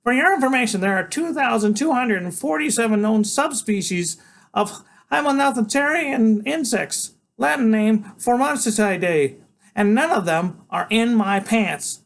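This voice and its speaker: A man's voice: measured pace, vocal fry, heavily acted. A man voicing a small, bossy genius boy who is always full of energy and speaks in lofty pronouncements. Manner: high, excitable, lofty pronouncements. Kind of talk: audiobook character voice.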